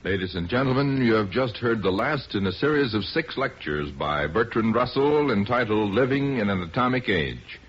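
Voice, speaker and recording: A man with an American accent reads formal, measured announcements in the style of a 1950s radio announcer. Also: lowish voice, a little hiss or hum. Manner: formal, measured, scripted delivery. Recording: dull, muffled 1950s radio recording.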